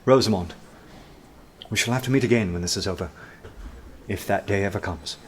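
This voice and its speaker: An actor playing a haunted, earnest detective in a radio drama, intense, fearful yet resolute. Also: male voice, on the low side, a little fast, slight background noise, close to an everyday voice. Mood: haunted, tender.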